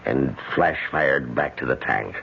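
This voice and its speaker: Very deep gravelly police sergeant. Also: male voice, a little slow, full character voice. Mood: awkward, sympathetic. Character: gruff, plain-spoken, awkward veteran police sergeant. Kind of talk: old-time radio drama acting. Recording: dull, hissy old radio recording.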